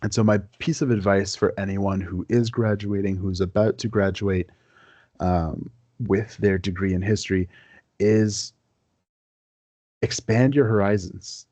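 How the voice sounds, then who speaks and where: Deep-voiced man from Quebec, dark tone. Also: measured pace, no room sound. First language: French. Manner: earnest, relaxed, with many fillers and repeated words. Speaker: guest historian. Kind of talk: casual podcast interview.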